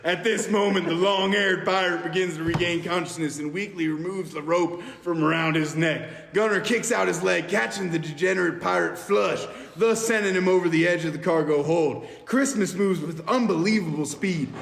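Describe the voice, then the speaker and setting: A man's voice: sits high, moderate pace, vocal fry, hardly any pauses, fairly bright tone. A man narrating stage directions from a screenplay in a dramatic way at an amateur table read. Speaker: podcast member reading stage directions. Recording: echoey hall, faint noise.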